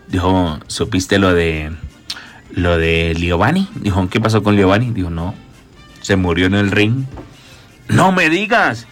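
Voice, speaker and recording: Un humorista de radio que cuenta chistes y se ríe de sus propios remates. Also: male voice, low-pitched, animated, a little slow, fairly bright tone, soft background music. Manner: joke-telling, laughing at his own punchlines. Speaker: radio comedian.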